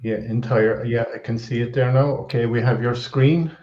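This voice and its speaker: A man's voice: mid-range voice, fairly even intonation, moderate pace, warm tone. A man hosting an online show, easygoing and repeating himself as he guides a guest. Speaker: show host. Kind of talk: casual online show chat. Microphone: computer mic on video call. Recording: roomy, dull lo-fi call audio.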